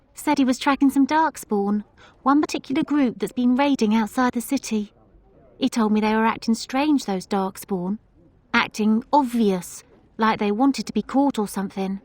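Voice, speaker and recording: An actress playing a friendly, chatty tavern barmaid in a video game, with a casual, familiar manner. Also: female voice, a little high, darkish tone, dry recording, lightly acted. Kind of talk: video game character acting.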